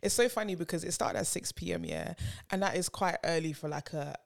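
Woman podcasting, a bit hoarse, laughs a lot, super chatty. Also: deep voice, brisk. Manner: animated, laughs often. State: losing her voice. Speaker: podcast co-host. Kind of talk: casual chat.

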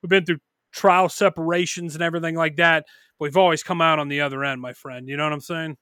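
Podcast co-host, early 30s man, bright forceful tone, dry close sound, rambling and profane. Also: fairly high pitch, steady tone, fast, smooth, no room sound. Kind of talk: casual podcast chat.

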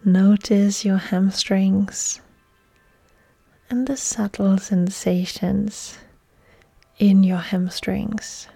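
A woman yoga instructor leading a guided relaxation meditation in a soft, breathy, soothing delivery with long pauses. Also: medium pitch, steady tone. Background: soft music.

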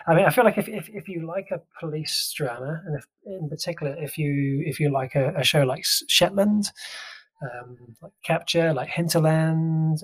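A chatty man with a slightly raised voice, reviewing a police drama on a casual podcast. He talks loosely and keeps restarting his sentences, with lots of ums and uhs. He sounds relaxed and conversational. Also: a little high, normal speed. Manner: chatty, full of fillers and restarts. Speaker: podcast co-host.

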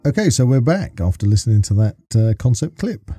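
A man's voice: on the low side, sing-song, brisk, mellow, slightly rough, soft background music. A man hosting a podcast in casual interview style, with hesitant, rambling phrasing. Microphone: close studio microphone.

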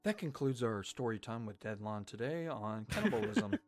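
A man with a mix of Southern US and Irish accent, a laid-back podcast host with a voice deepened by a cold, talking bluntly. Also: very animated, moderate pace, darkish tone, dry recording. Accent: Southern US mixed with Irish. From Arkansas, USA. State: voice deeper than usual from a cold. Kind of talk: casual podcast chat.

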